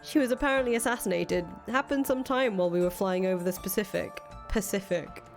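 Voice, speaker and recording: A woman's voice: medium pitch, a little fast, lightly acted. A woman playing a cynical, foul-mouthed ousted rebel leader in a visual novel, thinking aloud in casual, profane speech. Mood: wry, brooding. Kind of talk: acted reading of visual novel dialogue. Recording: music under the voice.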